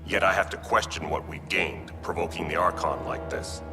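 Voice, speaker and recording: An actor playing a grave, cautious military man with a deep, gravelly voice in a sci-fi video game. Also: moderate pace. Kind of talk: dramatic video game cutscene acting. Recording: long hall reverb, music behind.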